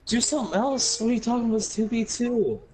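A man's voice: very high-pitched, animated, quick pace, a little mellow, rough recording. Stammering man trash-talking while gaming. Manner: laughing, stammering trash talk. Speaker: gamer streaming online matches. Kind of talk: casual gaming chat.

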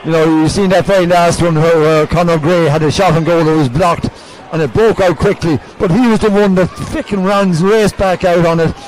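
Irish male co-commentator, slightly high voice. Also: steady tone, normal speed, few pauses, a little mellow, rough recording. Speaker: co-commentator, match analyst. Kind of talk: conversational live match analysis. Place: outdoor stadium stand. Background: light crowd noise.

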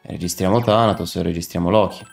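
A man's voice: low-pitched, fairly even intonation, quick pace, gravelly. A young man doing casual live game commentary, muttering to himself and chatting with viewers as he plays. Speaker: video game streamer. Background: video game music.

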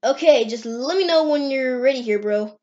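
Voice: high voice, moderate pace, darkish tone, pitched below the performer's own voice, lightly acted. A performer voicing a cocky, teasing young male hedgehog with slangy talk in an amateur cartoon comic dub. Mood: casual. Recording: dull, lo-fi screen-recorded sound.

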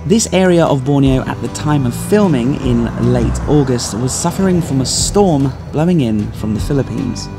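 A man's voice: mid-range voice, expressive, normal speed, few pauses. A man narrating his travel vlog in an easygoing, conversational way, partly as a voice-over and partly talking to camera. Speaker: travel vlogger. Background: guitar music.

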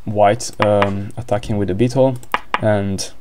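A man's voice: lowish voice, fairly even intonation, measured pace, noisy background. A man with an Italian accent commentating a board game live, hesitant, with many fillers and restarts.

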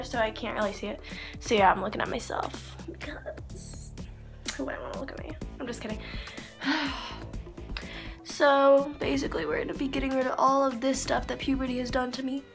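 A teenage girl with a slightly scratchy, fairly high voice talks through her makeup routine in a small bedroom. She rambles, jokes at her own expense and lets her words trail off into mumbles. The built-in camera mic sits at arm's length, with faint music in the background. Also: fairly even intonation, brisk, fairly bright tone. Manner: rambling, self-mocking, drifts to a mumble. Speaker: beauty vlogger. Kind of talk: casual makeup tutorial chat. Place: small bedroom by a curtained window.

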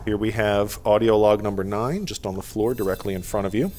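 A man with a fairly low voice narrates a video game walkthrough. He gives methodical, matter-of-fact directions one step at a time. Music plays clearly behind him. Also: a little fast, a little mellow. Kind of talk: instructional gameplay commentary. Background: music and game sound.

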